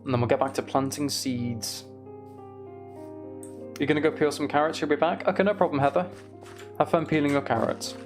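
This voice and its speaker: A man streaming a game, chatting casually and thinking aloud in an unhurried way as he plays. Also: on the high side, fairly even intonation, over music. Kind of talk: casual gaming livestream chat.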